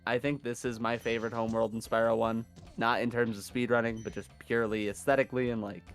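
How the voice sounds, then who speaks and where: A man commentating a live gaming marathon in a casual, chatty, self-deprecating way, his voice hoarse from days of yelling. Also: mid-range voice, flat delivery, fast, faint music behind.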